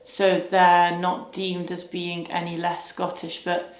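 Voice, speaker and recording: A young woman from Wales answering interview questions in a hesitant, thoughtful way, often pausing to search for words. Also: lowish voice, completely flat delivery, roomy sound.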